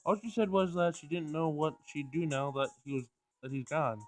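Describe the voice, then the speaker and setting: Higher-pitched man reading game text aloud. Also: moderate pace, soft background music. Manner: stumbles over words and rereads lines. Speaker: player reading a visual novel aloud. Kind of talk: Let's Play read-aloud of game text.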